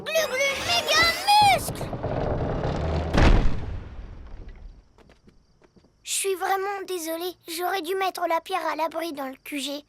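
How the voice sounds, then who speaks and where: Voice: extremely high pitch, lively intonation, moderate pace, no room sound. A performer voicing an earnest six-year-old boy superhero in a cartoon dub, exclamatory and determined. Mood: worried, guilty. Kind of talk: animated cartoon dub acting. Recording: loud music behind the voice. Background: loud cartoon score.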